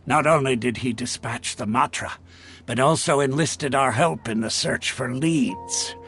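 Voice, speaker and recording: An actor playing a seasoned, world-weary mercenary chief in a video game, gravelly and matter-of-fact. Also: male voice, mid-range voice, fairly bright tone. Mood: measured, informative. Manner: measured, matter-of-fact briefing.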